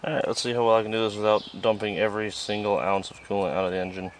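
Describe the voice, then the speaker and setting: A man with a fairly low voice talks through his repair work in a loose, joking way, now and then dropping into mutters as he works. He is recorded outdoors, and clear noise runs behind him. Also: flat delivery, rapid-fire. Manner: chatty and joking, swears casually, mutters while working. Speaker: vlog host fixing an old tractor. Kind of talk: casual how-to narration while working. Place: outdoors on a farm.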